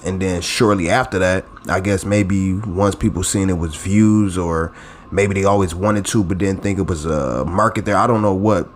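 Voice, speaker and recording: Late-30s guy with a real low voice, rambling vlog talk, loose and chatty. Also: slight background noise. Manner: rambling and conversational, with many restarts and asides. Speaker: YouTube blogger and channel host. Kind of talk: casual talk straight to camera.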